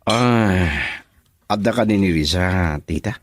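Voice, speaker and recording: A deep young man's voice in radio drama, playing a polite suitor. He speaks slowly and hesitantly, in a close, dry recording. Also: no room sound.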